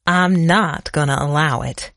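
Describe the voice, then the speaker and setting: A man's voice: fairly high pitch, fairly even intonation, moderate pace, dry recording, clean studio sound, lightly acted. A man voicing a tired, grizzled, stubborn rural sheriff with a blunt, folksy manner in an audiobook. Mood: firm, embarrassed. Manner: folksy, blunt, cursing bitterly.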